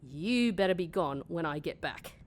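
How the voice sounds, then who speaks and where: A woman's voice: on the low side, expressive, normal speed, very noisy, close to an everyday voice. A woman playing a hungover, sarcastic office worker, irritable and blunt, in a comedy audio drama. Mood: queasy, sardonic. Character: grumpy, hungover, sarcastic woman working weekend office shift.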